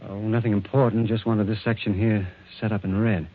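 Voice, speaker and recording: A man's voice: on the low side, little pitch movement, brisk, close to an everyday voice. A man acting in a 1940s radio drama, on a muffled vintage recording. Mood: flustered, evasive. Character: flustered, evasive male advertising artist, also a firm investigator.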